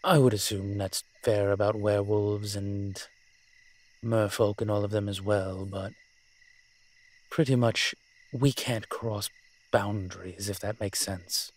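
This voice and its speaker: A man's voice: lowish voice, steady tone, slow. A man playing a roguish incubus demon in a roleplay audio drama, talking in a sly, teasing, conversational way. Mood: wry, explaining. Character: smooth-talking roguish male incubus demon, playful and scheming.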